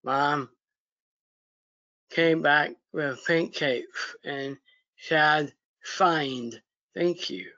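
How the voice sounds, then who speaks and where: A man reading a children's story aloud haltingly, in short phrases with pauses and stumbles, over a video call. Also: fairly high pitch, pushed and bright, no room sound, lo-fi sound. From United States. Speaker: story reader.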